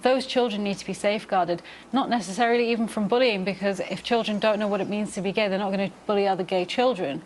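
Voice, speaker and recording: Female debate guest, medium pitch, considered and assertive, slight background noise. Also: fast, hardly any pauses, darkish tone, rough recording. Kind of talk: studio discussion.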